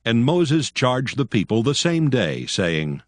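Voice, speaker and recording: A man narrating scripture in a grave, steady manner. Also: medium pitch, slow, no echo, studio quality. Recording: faint music beneath voice.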